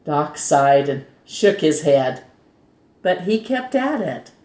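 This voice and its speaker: Slow, echoey woman reading a children's story. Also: sits low, very animated, warm tone. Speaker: storytime host reading a children's book aloud. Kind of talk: expressive read-aloud storytelling for children. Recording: roomy, echoing sound.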